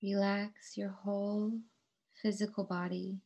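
Slow, even-toned woman guiding meditation. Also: mid-range voice, vocal fry. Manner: calm, soothing, measured instruction with long pauses. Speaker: yoga teacher. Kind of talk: guided relaxation meditation.

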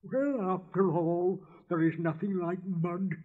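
A man's voice: high-pitched, takes it slowly, voice pushed up. A man voicing a chatty, complacent little male frog in a storybook reading, gushing and giggling at his own words. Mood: smug, chatty. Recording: dull lo-fi recording.

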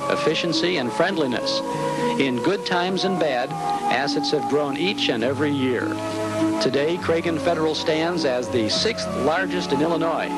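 A man's voice: fairly high pitch, low-quality recording. A man from the United States hosting and announcing in a scripted promotional style. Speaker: host and announcer.

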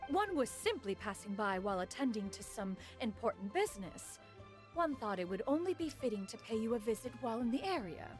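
A woman's voice: a little high, sing-song, fairly quick, faint music behind. A woman voicing a proud, aloof immortal mentor in an anime game, stately and archaically formal. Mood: flustered dignity. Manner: stately, haughty, calls herself "one". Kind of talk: anime video game acting.

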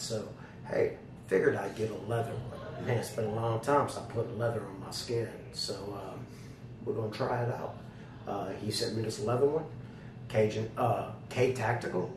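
Slightly hoarse man, distant and noisy. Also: lowish voice. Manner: folksy, colloquial, addressing viewers directly. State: slightly hoarse, losing his voice. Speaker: product reviewer, channel host. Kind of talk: casual chatty holster video review. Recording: roomy, distant mic with loud background noise.

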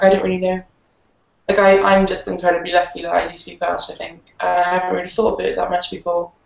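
Young woman with gravelly voice, roomy call. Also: mid-range voice. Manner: says "like" constantly, unsure. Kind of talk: casual panel discussion. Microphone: laptop webcam video call. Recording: roomy, muffled video call audio.

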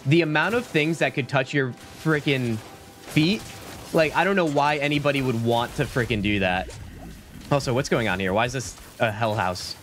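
A man's voice: medium pitch, a bit bright. Laid-back male streamer, sick-day voice. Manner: laid-back, rambling, says "like" and "dude" a lot. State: sick, voice slightly deeper. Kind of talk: casual game stream chat. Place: small home room. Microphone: studio mic at desk, webcam framing. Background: game music.

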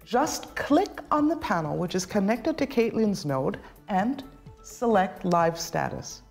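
A middle-aged woman giving scripted instructional narration as a tutorial presenter, enunciating clearly step by step. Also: medium pitch, sing-song, slow, darkish tone. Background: background music.

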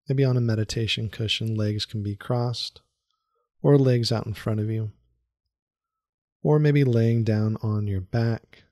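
A man leading a guided meditation in a soothing, unhurried manner with long pauses and repeated phrases. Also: fairly low pitch, darkish tone, no echo, clean studio sound. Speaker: meditation guide, yoga teacher. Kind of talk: guided meditation, calm instruction.

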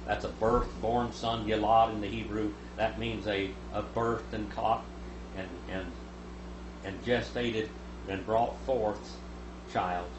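A man in his seventies teaching the Bible at a lectern, reading scripture aloud and voicing its speakers louder. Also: on the low side, fairly even intonation, slow pace. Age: 70s. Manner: reads scripture aloud, rising loud for quoted speakers. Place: small room with a lectern. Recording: echoey room, noticeable hum.

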